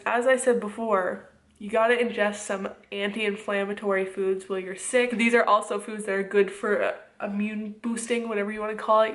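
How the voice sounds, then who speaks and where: A young woman with a stuffy, congested nose from a cold, chatting casually and rambling to the camera from her home kitchen, a bit short of breath. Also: mid-range voice. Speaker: vlogger. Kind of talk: casual vlog talk to camera.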